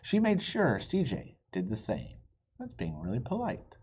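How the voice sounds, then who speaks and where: Male picture-book reader, slow and animated, expressive with dramatic pauses; slightly muffled, noisy home recording. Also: mid-range voice, slightly rough. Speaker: storybook reader. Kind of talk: expressive children's picture-book read-aloud.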